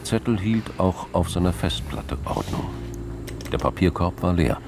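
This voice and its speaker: A man with a gravelly voice narrating a scripted crime drama in a studio. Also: low-pitched, animated, unhurried, noisy background. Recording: music behind.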